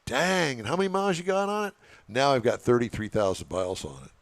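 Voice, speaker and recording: A man's voice: mid-range voice, expressive, quick pace, dry recording. A man hosting a car talk show, chatting casually with folksy, joking banter into a headset mic. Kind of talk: casual live radio chat. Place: remote show setup at a car event. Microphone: headset microphone.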